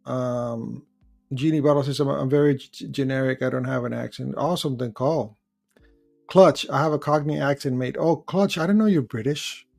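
A man's voice: mid-range voice, normal speed, gravelly, a little mellow, faint music behind. A man hosting a live call-in show, chatting casually, rambling as he searches for words and reads out viewer comments. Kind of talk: casual live-stream chat.

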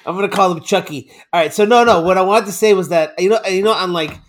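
An excitable man with a fairly high voice who laughs often and trips over repeated words. He rambles cheerfully as a podcast co-host. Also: fast, background noise. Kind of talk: casual chat.

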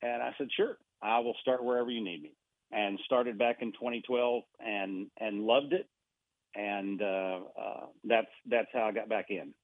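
A man's voice: lowish voice, fairly even intonation, a little slow, no room sound. Rambling man on a tinny phone line. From Argentina. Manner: rambling, with many restarts and fillers. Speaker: radio guest. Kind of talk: casual radio chat.

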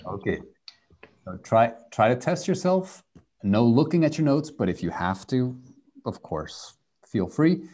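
A man's voice: medium pitch, sing-song, takes it slowly, a little mellow, husky. A man teaching French in a live online group lesson, repeating key phrases and switching between French and English. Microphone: video call.

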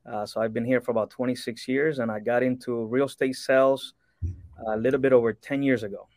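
A man's voice: mid-range voice, fairly even intonation, fairly quick, darkish tone, no room sound, clean studio sound. A man in his forties from Cuba, a real estate broker talking earnestly as a podcast guest, with frequent fillers and restarts. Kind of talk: podcast interview.

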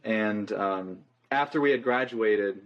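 A nineteen-year-old man talks loosely as a guest on a podcast, piling "like" and "um" into his stories. His voice sits at an ordinary pitch. The recording sounds a little dull. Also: slow pace. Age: young adult. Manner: rambling, loose, many fillers. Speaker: guest musician. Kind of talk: casual interview chat.

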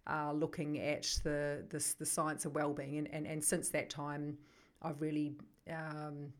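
A woman's voice: deep voice, monotone, unhurried. A middle-aged woman with a New Zealand accent, a psychotherapist talking as a podcast guest, thoughtful and searching for her words. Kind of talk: conversational podcast interview.

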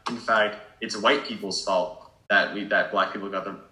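Teen boy, panelist, slightly low voice, bright, reflective and hedging, echoey room. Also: fairly even intonation, moderate pace. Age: teens. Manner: thoughtful, hedging with fillers like "like". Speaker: discussion participant. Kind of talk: casual group discussion.

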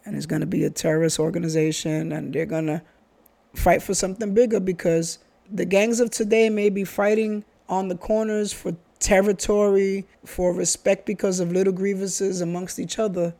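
A man's voice: high voice, gravelly, mellow. A man, talking earnestly in a casual conversation, often restarting and repeating phrases. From Bronx, New York. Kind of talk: casual chat.

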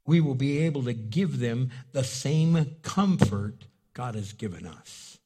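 A husky-voiced male pastor preaching in a storytelling way. His first language was Spanish, and he leaps into loud, high-pitched bursts when he acts out a scene before settling back down. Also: animated, measured pace. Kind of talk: sermon.

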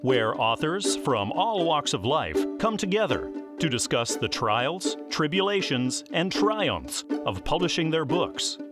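A man's voice: a little high, sing-song, measured pace, over loud music. A man hosting an author podcast, with a polished radio-style delivery. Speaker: podcast host. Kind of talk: interview host, scripted intro.

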